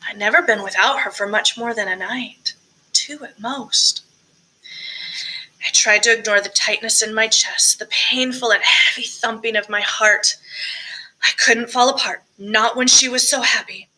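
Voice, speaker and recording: Casting: female audiobook reader, expressive first-person narration, higher register, very bright tone. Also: unhurried, rough recording. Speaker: audiobook reader, choir director.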